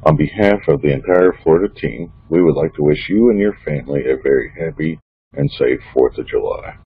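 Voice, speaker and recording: A man's voice: rumbling low, fairly quick, breathy edge, dry recording, narrow, tinny audio. A man giving a scripted spoken greeting as the director introducing a presentation. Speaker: organization director introducing a reading.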